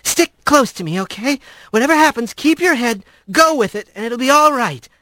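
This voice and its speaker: A man's voice: very high-pitched, lively intonation, normal speed, bright, forceful tone, no room sound, pitched above the performer's own voice, heavily acted. A man voicing an earnest, uneasy female animal acolyte in a storybook, deferential and hesitant. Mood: urgent, reassuring. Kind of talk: storybook character reading.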